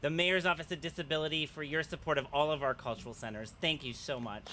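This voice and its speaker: Man, 30s, official at a ceremony; earnest, a bit halting, podium mic outdoors with clear noise behind. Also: fairly high pitch, steady tone, sharp tone. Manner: earnest, slightly halting, with fillers. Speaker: arts official. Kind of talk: ceremony speech with thank-yous. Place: outdoor plaza at a groundbreaking. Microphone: podium mics.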